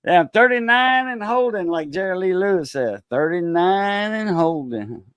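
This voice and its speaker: A man's voice: high-pitched, lively intonation, moderate pace, a bit bright, smooth, dry recording. A man about to turn sixty chatting casually on a live stream, laid-back, laughing easily and using many fillers. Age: 50s. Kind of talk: casual chat.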